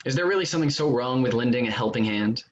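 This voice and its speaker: A man's voice: mid-range voice, expressive, fast, natural voice. A man playing a smug, defensive amateur novelist in a staged play reading. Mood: smug, prodding. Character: smug, self-justifying male amateur novelist, defensive about his sleazy book. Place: remote virtual staged reading. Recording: dull, noisy online call audio.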